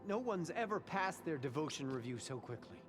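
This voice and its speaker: A man's voice: on the high side, very animated, a little fast, music in the background. A man playing a stern, officious guard and cult follower in a video game, stiff and unbending. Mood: suspicious. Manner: stiff, self-important, unbending. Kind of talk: video game cutscene acting.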